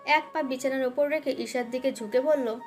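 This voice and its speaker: A woman's voice: sits high, moderate pace, soft background music. A woman narrating a scripted dramatic love story as its storyteller.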